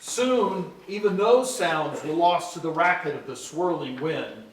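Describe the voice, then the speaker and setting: A man plays an old-fashioned storyteller on stage. He narrates descriptively and dramatically in a fairly high voice, picked up at a distance in a roomy space with faint noise. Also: animated, measured pace. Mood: suspenseful. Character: male storyteller narrating an old winter tale. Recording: echoey hall, far mic, faint noise.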